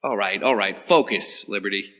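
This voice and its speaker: A man's voice: on the low side, normal speed, sharp tone, in a large hall. A man playing an earnest, slightly awkward government agent who asks questions politely and hesitantly. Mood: questioning. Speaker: interrogating agent. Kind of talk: audio drama acting.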